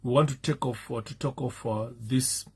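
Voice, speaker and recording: A Zimbabwean man with a hoarse, fading voice, talking in a halting live-streamed talk with many fillers. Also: mid-range voice, fairly even intonation, a little mellow. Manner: halting, many fillers, switches into Shona and sings. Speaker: political commentator.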